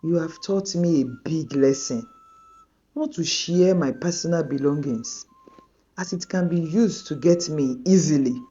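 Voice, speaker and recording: Woman voicing a calm, dignified wronged woman. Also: highs cut off. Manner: low, quiet and measured.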